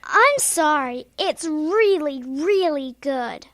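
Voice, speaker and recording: A woman's voice: high voice, animated, slow, a bit bright, no echo, heavily acted. A woman playing an earnest, curious young schoolboy with a puzzled, childlike manner in a children's audio drama. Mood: subdued, worried.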